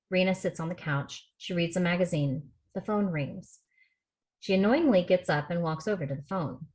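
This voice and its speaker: A woman's voice: on the low side, darkish tone, rough recording. A woman reading out stage directions as scripted narration at a table read over a video call.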